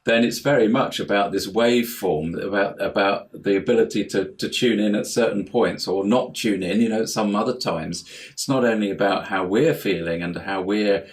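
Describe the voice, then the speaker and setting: Guy with a lowish voice explaining stuff in an interview, wanders off a bit, repeats words as he goes. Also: normal speed. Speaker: interview guest, house healer and life coach. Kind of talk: conversational explanation in an online interview. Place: remote internet call.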